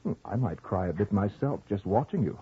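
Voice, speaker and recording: Kindly, earnest husband-narrator for vintage supernatural radio drama. Lower register, measured literary delivery, muffled archive sound. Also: male voice, lively intonation, breathy edge. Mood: soothing. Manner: measured, literary storytelling and theatrical dialogue. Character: earnest husband narrating an eerie tale, kindly but exasperated. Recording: dull old radio recording with music.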